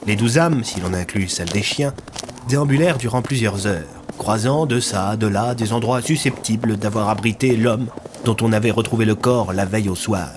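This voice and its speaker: A man's voice: lowish voice, normal speed, background noise. A man narrating a scripted audio fiction as its storyteller.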